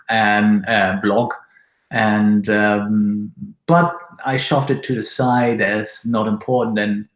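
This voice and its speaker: Young German man, halting and animated. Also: mid-range voice, roomy sound, poor audio. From northern Germany. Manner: animated, halting with many fillers. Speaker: guest, agency CEO. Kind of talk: casual interview answers. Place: home room with gaming chair.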